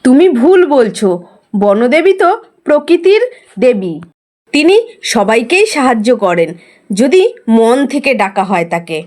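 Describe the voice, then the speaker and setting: A woman voicing a greedy, scolding farmer's wife in an animated fairy tale, nagging and insistent. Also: a little high, measured pace. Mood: chiding.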